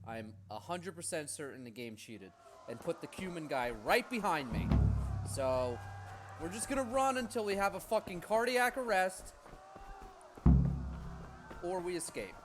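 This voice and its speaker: Let's play host, male, high animated voice, casual and exasperated with plenty of swearing, loud game music behind. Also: measured pace, long pauses. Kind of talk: casual gameplay commentary. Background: game music, horse hoofbeats.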